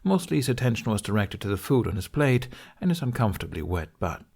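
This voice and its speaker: A man's voice: on the low side, sing-song, quick pace, vocal fry, darkish tone, breathy edge. A man reading scripted story narration as the narrator of an audiobook.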